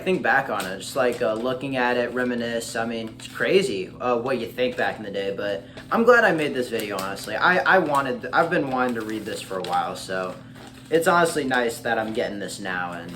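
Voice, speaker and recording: Late-teens male, American accent, casual vlog chat, rambling and hesitant, medium pitch, faint background noise. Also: a bit bright. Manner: hesitant, rambling, repeats himself. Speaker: vlogger and streamer. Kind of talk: casual chat to camera, reading and reacting to a letter.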